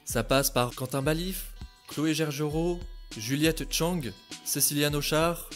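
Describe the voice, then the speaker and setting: A man narrating his own podcast story in a scripted, dramatic, expressive way. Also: fairly high pitch, slow pace. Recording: music playing behind.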